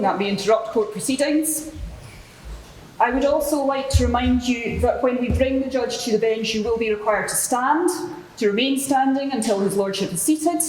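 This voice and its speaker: A woman playing a formal, orderly court clerk who briefs the public in a measured, official way. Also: a little high, a little mellow, natural voice. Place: large courtroom with audience. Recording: echoey hall, faint background noise.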